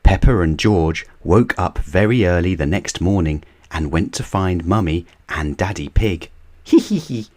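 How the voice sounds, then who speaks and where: Brief: man reading a children's book aloud, deep voice, unhurried. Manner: gentle and measured. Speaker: reader of children's books. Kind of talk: bedtime storybook reading.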